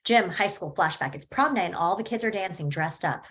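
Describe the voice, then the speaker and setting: A woman reading stage directions at a table read in a plain, even way. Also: fairly low pitch, fairly quick.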